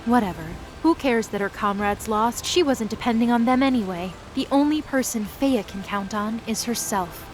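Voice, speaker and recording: A woman's voice: fairly high pitch, moderate pace, hardly any pauses. A woman narrating an audio drama in a scripted style with wry, casual asides. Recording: music underneath.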